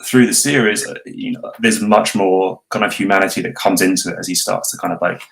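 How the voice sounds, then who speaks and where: Male voice actor, notably low pitch, measured and reflective in relaxed interview conversation. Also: creaky, low-quality recording. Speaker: voice actor, cast member. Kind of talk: casual interview talk. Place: online voice call.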